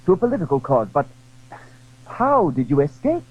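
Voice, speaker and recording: A man's voice: on the high side, big pitch swings, moderate pace, phone-quality sound, pitched above the performer's own voice. A man acting a courteous, proud young swordsman in a period audio drama, speaking formally and earnestly. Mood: gallant, earnest.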